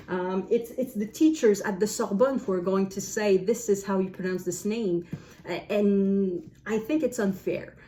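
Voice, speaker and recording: Mellow-voiced French Canadian woman musing. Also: medium pitch. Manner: thoughtful, unhurried, musing aloud. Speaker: book vlogger. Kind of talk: casual talk to camera.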